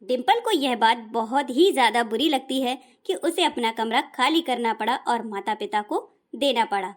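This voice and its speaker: A woman's voice: a little high, expressive, normal speed. A woman giving scripted narration for a cartoon story, explaining the plot.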